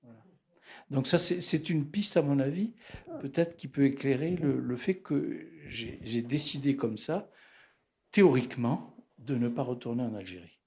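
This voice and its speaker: A man's voice: mid-range voice, moderate pace, darkish tone. A man talking through a personal memory at a bookshop event, reflective and hesitant, with fillers and restarts. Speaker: psychoanalyst speaking at a bookshop talk.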